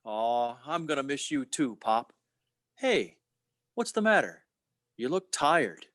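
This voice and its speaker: A man's voice: mid-range voice, sing-song, slow pace, a bit bright, no room sound, studio quality. A man playing a restless, ambitious young dreamer in a radio play, earnest and eager. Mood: excited, fond. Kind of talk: old-time radio play acting.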